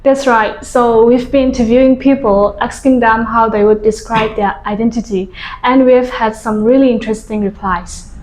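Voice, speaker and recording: A woman's voice: on the high side, fairly even intonation, normal speed, darkish tone. A woman co-hosting an interview segment, asking short prompting questions. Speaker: co-host. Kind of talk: scripted interview role-play. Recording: echoey room.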